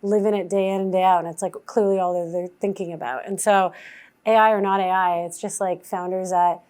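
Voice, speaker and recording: A woman's voice: steady tone, a little fast, a little mellow. Chatty woman investor, filler-heavy. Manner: acts out founders' lines in a higher voice. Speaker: venture investor guest. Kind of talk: casual answers to audience questions.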